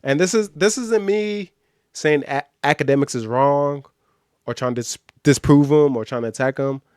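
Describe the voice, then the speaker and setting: A man's voice: on the high side, moderate pace, a little mellow, clean tone, no echo, studio quality. American man giving casual, slangy reaction commentary. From born in Nigeria, grew up in the United States. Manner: slangy, repeats phrases for emphasis. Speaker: streamer reacting to a video.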